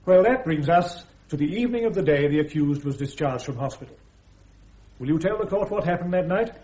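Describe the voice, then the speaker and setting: An actor playing a courteous, brisk British army major prosecuting a case in a radio drama, polite and precise. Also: male voice, on the high side, lively intonation, dark tone, natural voice. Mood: formal, probing. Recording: dull lo-fi radio drama recording.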